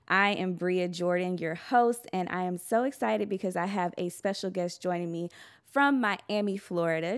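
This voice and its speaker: Effusive woman hosting a podcast, laughing often. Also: mid-range voice. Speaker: podcast host. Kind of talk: upbeat podcast interviewing, casual chat.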